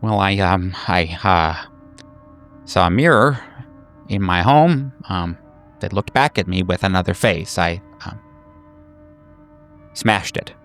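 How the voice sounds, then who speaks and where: A man's voice: low voice, expressive, takes it slowly, long pauses, fairly bright tone, soft background music, exaggerated voice. A man voicing a timid, haunted therapy patient in a tabletop game, faltering and repeating his words. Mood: haunted, uneasy.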